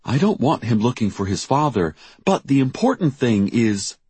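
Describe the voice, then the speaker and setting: A man's voice: medium pitch, very animated, moderate pace, warm tone, husky, no room sound, voice pushed up. A man voicing an anxious, tearful mother who frets and protests about her missing son in an audiobook story. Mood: worried, insistent.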